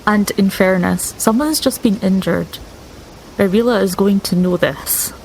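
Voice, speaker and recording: A woman's voice: medium pitch, measured pace, darkish tone, a little hiss or hum, low-quality recording. A woman playing a tabletop game, matter-of-fact and wry. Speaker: player. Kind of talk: casual tabletop play.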